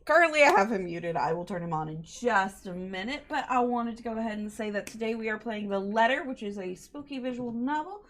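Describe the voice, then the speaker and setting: A woman's voice: fairly high pitch, expressive, a little fast, hardly any pauses. A woman hosting a livestream, chatting casually to her viewers in an apologetic, giggly manner. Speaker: livestream host. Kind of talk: casual stream chat to viewers.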